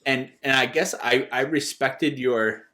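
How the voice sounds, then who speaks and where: A man's voice: medium pitch, steady tone, unhurried, pushed and bright. A man of 37 chatting casually as a podcast co-host, often restarting his phrases as he talks. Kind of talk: casual unscripted chat.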